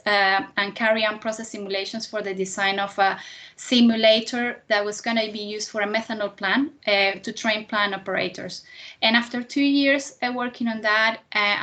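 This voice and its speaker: A woman's voice: mid-range voice, fairly bright tone. A woman in her thirties from Venezuela, a native Spanish speaker with a Venezuelan accent, giving a careers presentation to students in slightly hesitant, non-native English. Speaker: guest speaker, chemical engineer.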